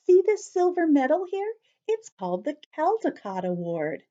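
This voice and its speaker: A woman's voice: high-pitched, sing-song, moderate pace, warm tone, lo-fi sound. A woman reading a picture book aloud to young children, explaining words as she goes and sometimes dropping to a whisper. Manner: drops to a whisper at times. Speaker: children's storyteller.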